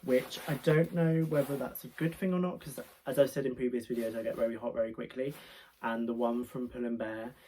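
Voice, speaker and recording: A young man in his twenties talks straight to his camera from a small bedroom. A head cold leaves him a touch nasal, and his chat wanders with fillers and self-corrections. His voice sits at a middle pitch, with a faint hiss in the recording. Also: fairly even intonation, fairly quick, dark tone, rough recording. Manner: chatty, rambling, many fillers and restarts. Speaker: fashion vlogger. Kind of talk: casual try-on haul vlog. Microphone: camera mic, moving toward and away.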